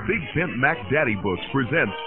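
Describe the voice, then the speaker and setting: Parody radio commercial announcer, man acting: animated, salesy, polished, over music in a lo-fi recording. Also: mid-range voice, moderate pace. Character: upbeat pitchman for a parody book commercial.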